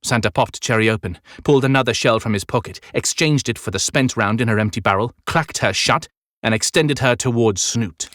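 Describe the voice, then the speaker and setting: A man's voice: medium pitch, brisk, a bit bright, no echo, clean studio sound. A man reading dramatic scripted narration as an audiobook narrator. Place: dry recording studio.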